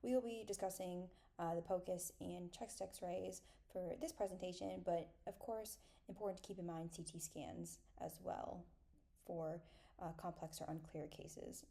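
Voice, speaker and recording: A young adult woman giving a scripted academic medical presentation in a measured, explanatory way. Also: lowish voice, fairly even intonation, vocal fry, warm tone.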